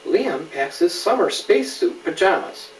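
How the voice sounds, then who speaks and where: Casting: man as a dad storyteller, lively swooping intonation, patient asides, recorded from across a roomy space. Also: sits high, normal speed. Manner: explains patiently, asks the child questions. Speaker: father reading a story to a child. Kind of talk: read-aloud picture book storytelling with casual asides. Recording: roomy, distant mic, faint background noise.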